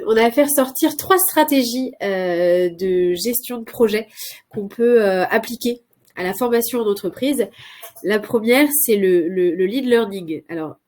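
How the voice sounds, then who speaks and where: A woman with a cold, presenting as a consultant in a live webinar, hesitant with many filler sounds and restarts. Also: medium pitch. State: has a cold.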